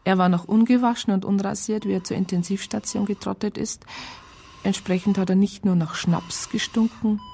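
A woman's voice: mid-range voice, fairly even intonation, moderate pace, creaky, mellow, breathy edge. A woman playing a dry, matter-of-fact police psychologist in a radio crime drama. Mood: dry narration. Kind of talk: first-person narration and dialogue in radio crime drama.

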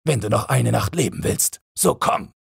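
A brusque storybook robber henchman, performed by a male reader. He urges and orders in a husky voice, somewhat higher than plain narration. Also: lively intonation, normal speed, dry recording, studio quality, full character voice. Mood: brusque warning.

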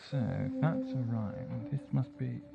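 A man's voice: mid-range voice, steady tone, measured pace, creaky, over loud music. A man playing an eccentric, curious time traveller in an audio drama, musing in a hesitant, donnish way. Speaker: actor in a fan audio drama. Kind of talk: science fiction audio drama acting. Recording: lo-fi 1980s amateur audio, hissy, sometimes echoey.

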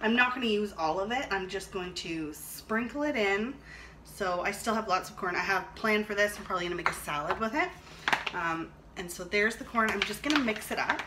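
A woman's voice: mid-range voice, a little fast. Chatty woman over clattering kitchen noise. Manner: rambling, chatty, thinking aloud. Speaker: vlog host cooking and reviewing a product. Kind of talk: casual chat while demonstrating cooking. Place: home kitchen, indoors. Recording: clattering dishes and clicks, noisy room.

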